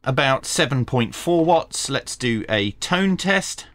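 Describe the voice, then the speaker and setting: Animated man giving a hands-on tech review. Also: mid-range voice, slow pace. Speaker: radio review channel host. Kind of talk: hands-on product review and tutorial narration. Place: small indoor home workshop.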